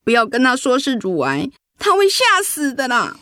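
Flustered mother with swooping pitch. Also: wildly swooping pitch, normal speed, a bit bright, no echo, clean studio sound, full character voice. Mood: flustered, insistent. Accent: Taiwanese Mandarin. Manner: hesitant, fretful. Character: protective, flustered middle-aged mother hiding bad news. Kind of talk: radio drama acting.

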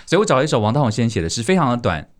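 A man's voice: medium pitch, sing-song, rapid-fire. A man hosting a podcast in a casual, playful, teasing way, chatting to guests and listeners. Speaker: podcast host.

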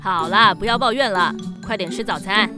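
A woman's voice: mid-range voice, normal speed, over music, natural voice. A woman playing a bossy, practical young eldest sister in a children's radio drama. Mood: firm.

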